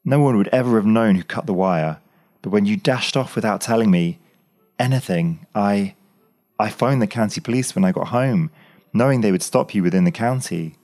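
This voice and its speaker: A man's voice: normal speed, a little mellow, soft background music, natural voice. A man voicing an impulsive young woman in love. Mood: passionate. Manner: breathless, pleading, voice pitched up. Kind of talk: audiobook story reading.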